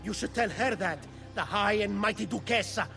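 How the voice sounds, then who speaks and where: A man's voice: high voice, normal speed, gravelly, music in the background. An actor playing a vain, spiteful jilted nobleman with an Italian accent in a video game, indignant and theatrical. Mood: enraged. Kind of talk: video game cutscene acting.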